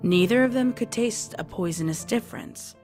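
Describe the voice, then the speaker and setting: A woman's voice: mid-range voice, normal speed, darkish tone, faint music behind. A woman reading scripted narration for a dramatised story, as its narrator.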